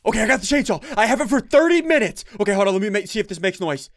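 A young man giving excitable game commentary to his viewers, laughing often, on a headset mic at his desk at home. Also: high-pitched, rapid-fire. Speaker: gaming YouTuber.

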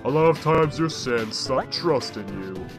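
A man's voice: mid-range voice, expressive, unhurried, over music, lightly acted. A man as a swooping, taunting skeleton destroyer. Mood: taunting, irritated. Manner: drawling, mocking, chuckling delivery. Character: taunting male glitchy skeleton destroyer, mocking, smug, unhinged. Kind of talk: theatrical comic dub acting.

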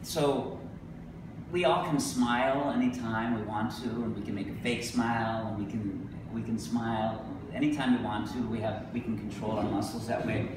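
A man's voice: fairly low pitch, fairly even intonation, normal speed. Echoey, distant middle-aged man reading poetry. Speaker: poet. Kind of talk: live poetry reading with spoken asides. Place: indoor venue with a staircase, live reading. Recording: echoey room from a distance, noisy.

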